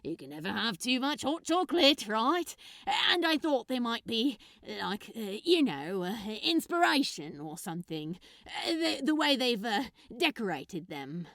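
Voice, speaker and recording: A woman's voice: on the high side, sing-song, unhurried, hardly any pauses, fairly bright tone, no echo, studio quality, heavily acted. A woman voicing a shy, lovestruck man who mumbles and stammers hesitantly in a story reading. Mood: awkward, eager. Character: flustered, lovestruck man stammering shyly through small talk. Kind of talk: storybook character dialogue in fan fiction reading.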